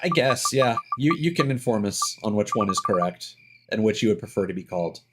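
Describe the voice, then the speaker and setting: A man giving casual live gameplay commentary, explaining details in a halting way and thinking aloud with asides. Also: on the low side, lively intonation, music in the background. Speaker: game streamer.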